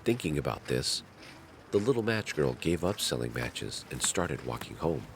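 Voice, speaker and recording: A man narrating a fairy tale in a measured, dramatic storytelling manner. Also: very deep voice, very animated, slight background noise.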